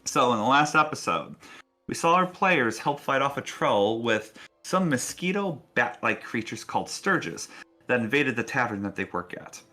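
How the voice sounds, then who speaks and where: A man's voice: medium pitch, sing-song, moderate pace, bright, forceful tone. A man hosting a tabletop role-playing show as game master, speaking casually and encouragingly as he addresses players and viewers. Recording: faint music behind. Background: faint music.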